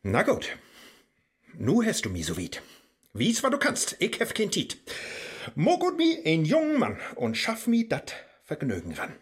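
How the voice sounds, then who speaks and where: A man acts out an anxious, lonely middle-aged seeker in a satirical verse radio play. His husky, lively voice laments and yearns in a Low German accent. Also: unhurried, exaggerated voice. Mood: impatient, yearning. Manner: plaintive, lamenting, rhyming speech.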